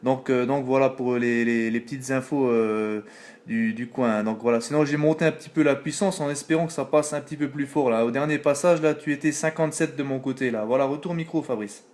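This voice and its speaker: A man's voice: mid-range voice, fairly quick, a little mellow. A man chatting casually as an amateur radio operator, talkative and informal, often trailing off into filler tags. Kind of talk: casual radio contact chat.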